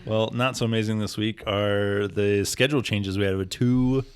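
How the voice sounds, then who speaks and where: A man with a gravelly voice and a cold, hosting a podcast and making wry jokes. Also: on the low side, steady tone, normal speed. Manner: joking, wry. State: has a cold. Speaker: podcast host. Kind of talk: casual chat.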